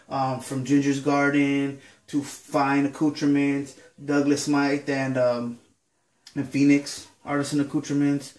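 A man with a congested, stuffy nose talks easygoingly to a camera, filling pauses with ums. His voice sits a bit high for a man. The small bathroom around him adds a noticeable echo. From Southern California. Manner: relaxed, rambling with many ums. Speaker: shaving vlogger. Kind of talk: casual chat to camera. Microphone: camera on a counter facing a mirror.

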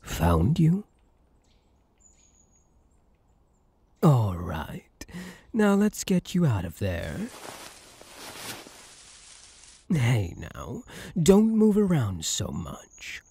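A man's voice: mid-range voice, huge pitch swings, gravelly, dark tone, slightly rough, no room sound, heavily acted. A man playing a cocky, possessive elf hunter in an intimate ASMR roleplay, smug, teasing and seductive. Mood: teasing, vain. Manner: smug, teasing, slow and deliberate. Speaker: voice actor in audio roleplay.

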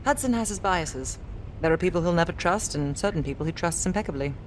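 An actress playing a poised, sardonic British intelligence agent in a video game, articulate and measured, with a dry manner. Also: female voice, fairly low pitch, lively intonation, slight background noise, lightly acted, rough recording. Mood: dry, businesslike. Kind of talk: scripted video game cutscene acting.

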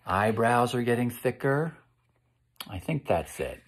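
American guy in his 50s doing a kids storytime, takes it real slow, asks the listeners stuff, expressive and encouraging. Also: medium pitch. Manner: slow, expressive, encouraging, pausing to ask questions. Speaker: children's read-aloud host. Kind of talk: picture book read-aloud with questions to young listeners. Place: indoor room at home.

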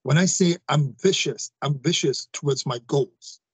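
A man's voice: a little high, unhurried, husky, dry recording. A man in his forties, a guest speaking emphatically on a video call, repeating phrases and addressing listeners directly. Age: 40s. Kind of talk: emphatic interview talk.

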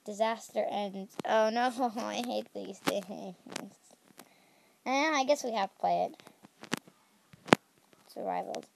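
A woman's voice: mid-range voice, slow, creaky, pauses often, background noise. A young woman hosting a game channel, chatting casually as she plays and squealing at danger, with a slightly stuffy nose. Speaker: gaming channel host.

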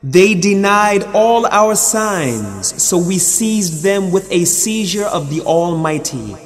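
A man reading translated scripture solemnly, with measured, formal and deliberate phrasing. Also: high voice, expressive, soft background music. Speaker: reader of Quran translation. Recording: long hall reverb.

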